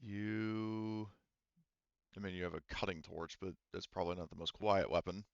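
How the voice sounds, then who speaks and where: A man's voice: low-pitched, little pitch movement, normal speed, creaky, dry recording, lo-fi sound. A man running a tabletop roleplaying game as game master, explaining the situation conversationally.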